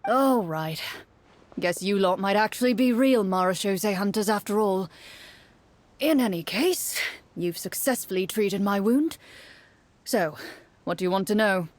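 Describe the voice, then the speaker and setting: A woman's voice: medium pitch, lively intonation, measured pace, pauses often, dry recording, lightly acted. A woman voicing a weary, worried, grudging wounded male guard as she reads game dialogue aloud. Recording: clear background noise.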